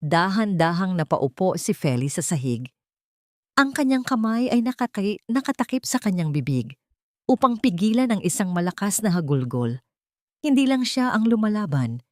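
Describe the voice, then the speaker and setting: A woman's voice: medium pitch, sing-song, normal speed, no room sound, studio quality. A woman with a Filipino accent reading a dramatic scripted story as narrator.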